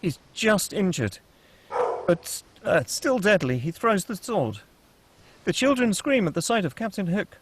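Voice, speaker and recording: A man's voice: sits high, very animated, measured pace. A man narrating screenplay stage directions in a scripted table-read style.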